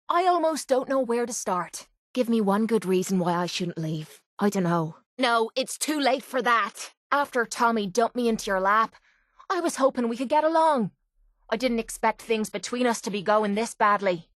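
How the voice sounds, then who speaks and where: A woman's voice: a little high, dry recording, clean studio sound, natural voice. Actress as a brash, tough Irish mercenary woman. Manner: blunt, cocky, slangy. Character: tough, brash young mercenary woman, sarcastic and foul-mouthed. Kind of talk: video game companion voice lines.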